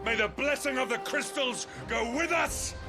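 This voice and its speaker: An actor playing a proud noble ruler in a fantasy game, declaiming to troops in stately oratory. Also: male voice, very high voice, normal speed. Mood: rousing, proud. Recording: crowd and music behind.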